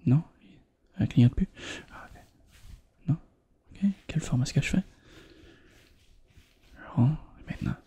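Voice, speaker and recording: A man's voice: on the high side, unhurried, pauses often, breathy edge. Whispering man with a Quebec French accent. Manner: soft, hushed, reassuring. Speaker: ASMR roleplayer acting as an eye examiner. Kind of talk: whispered ASMR roleplay.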